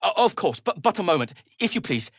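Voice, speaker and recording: An actor playing an anxious, devoted gnome inventor in a video game, speaking in a hesitant, politely formal way. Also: male voice, sits high, very animated, a little fast, no echo, studio quality, exaggerated voice. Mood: hesitant, placating. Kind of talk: video game character acting.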